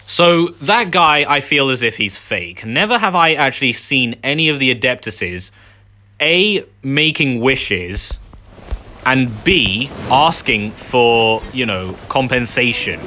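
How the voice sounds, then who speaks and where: A woman's voice: very deep voice, animated, takes it slowly, sharp tone, slight background noise. A woman giving casual, sceptical playthrough commentary as a gaming video host, with muttered asides. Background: game music.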